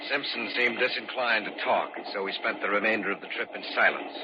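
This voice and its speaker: An actor playing a steady, concerned man who narrates his eerie adventure in an old-time radio drama. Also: on the low side, brisk, natural voice. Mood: somber narrating. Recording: dull, noisy old radio recording.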